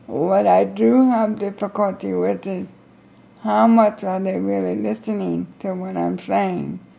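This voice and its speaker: Heavily gravelly woman. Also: medium pitch, fairly even intonation, a little slow, mellow, poor audio. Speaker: interviewee living independently with care providers.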